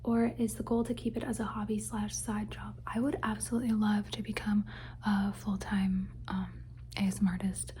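A young woman whispers through a rambling ASMR question-and-answer session recorded on a phone, her voice mellow and dark. She trails off into soft repeated words as triggers, with a faint hiss underneath. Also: mid-range voice, flat delivery, normal speed. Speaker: ASMR creator.

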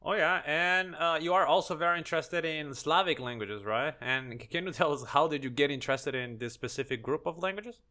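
Bright-voiced male podcast host. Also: fairly high pitch, brisk, few pauses. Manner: asks questions with some restarts. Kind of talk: interviewing a guest.

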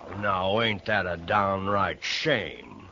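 Muffled vintage radio sound carries a tough old rancher from the American West. His voice sits low, and he talks in a folksy drawl with a sly, needling edge and an old grudge underneath. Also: expressive, takes it slowly, vocal fry, bright, forceful tone, a little hiss or hum, exaggerated voice. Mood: bitter. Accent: American Western. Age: older. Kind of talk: old radio Western acting.

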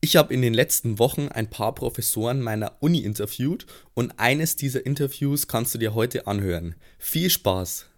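A man's voice: mid-range voice, lively intonation, moderate pace, hardly any pauses. A young man hosting a podcast, greeting listeners and putting carefully phrased questions to a guest. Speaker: podcast host. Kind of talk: podcast intro and interview questions.

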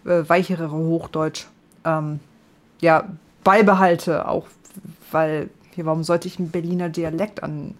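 A man's voice: a little high, flat delivery, a little slow. A man from northern Germany with a northern German accent, hosting a podcast in casual chat, hesitant with many fillers and frequent laughs. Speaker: podcast host.

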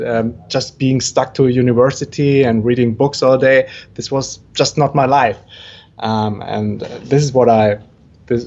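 A German man speaks English with a clear German accent. He tells his story with eager energy, broken up by ums and small restarts. His voice sits at an average pitch and comes through slightly muffled, lo-fi audio. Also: a little mellow. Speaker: guest entrepreneur. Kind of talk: podcast interview storytelling.

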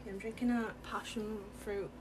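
A young woman, co-hosting a casual podcast chat at home while getting ready, relaxed and giggly, with a lot of "um" and "like". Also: medium pitch, moderate pace, poor audio. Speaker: podcast co-host. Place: home room with excited dogs. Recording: home recording with rummaging background noise.

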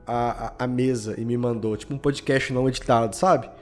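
A man's voice: medium pitch, fairly even intonation, brisk. A man from Belo Horizonte with a Minas Gerais Brazilian accent, chatting casually as a podcast guest and telling anecdotes in slangy speech. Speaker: guest, audio drama podcast creator. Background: background music.

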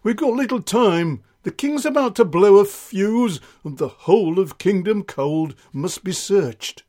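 A man's voice: high-pitched, expressive, a little slow, a little mellow, pitched above the performer's own voice. A man voicing a flustered, bossy sergeant made of ice, barking urgently in a comic children's story. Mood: stern. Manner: barking, urgent military delivery. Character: flustered bossy male icicle sergeant, blustering.

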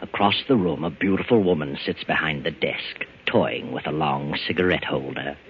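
Crime-mystery narrator: very low, measured and dramatic, on a dull vintage radio recording. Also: male voice, slight background noise. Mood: measured suspense. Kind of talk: old-time radio scripted narration. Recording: dull lo-fi old radio broadcast. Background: loud music.

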